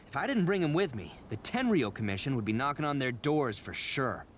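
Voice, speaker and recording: An actor playing a boisterous horned oni man in a video game, loud and boastful, stammering as he bluffs. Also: mid-range voice, sing-song, brisk, slight background noise, natural voice. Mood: earnest. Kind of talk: video game cutscene acting. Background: game music.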